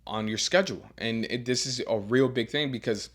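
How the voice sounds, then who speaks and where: A man who coaches strength and teaches as a professor, giving earnest career advice with a deeper voice and frequent repeated words as he restarts. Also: normal speed, a bit bright. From Miami. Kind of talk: advice monologue.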